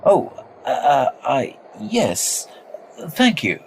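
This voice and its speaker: An actor playing a polite, easily unnerved gentleman traveller in a radio drama, with a halting, apprehensive manner. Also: a little high, huge pitch swings, vocal fry, slight background noise, full character voice. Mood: flustered, polite. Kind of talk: period radio drama acting.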